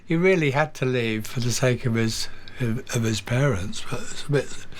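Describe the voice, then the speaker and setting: Older English man, interview guest; halting, filler-heavy storyteller. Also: mid-range voice, a little hiss or hum. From England. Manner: halting, with many fillers and repeated words. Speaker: guest, drummer.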